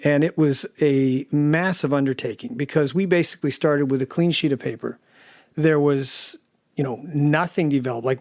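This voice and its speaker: A man with a fairly high voice talks through his production work in an interview. He explains step by step, sometimes doubling back on words. The sound is very dry and close, with no room around it. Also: darkish tone, dry recording. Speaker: audio drama producer and sound designer. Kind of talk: interview recollection.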